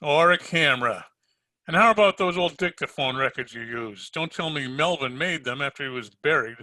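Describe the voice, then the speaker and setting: A man's voice: fairly high pitch, animated, normal speed, sharp tone, dry recording. A man playing a wisecracking, sardonic private detective in an old-time radio drama, slangy and needling. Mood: pressing, sardonic. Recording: home recording over video call.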